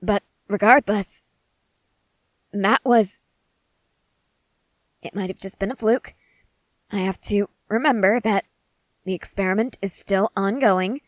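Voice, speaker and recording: A woman playing an excitable, curious scientist in a scripted ASMR roleplay, speaking in eager, wordy, formal and apologetic phrases. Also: medium pitch, slow pace, long pauses, dry recording, close to an everyday voice. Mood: astonished.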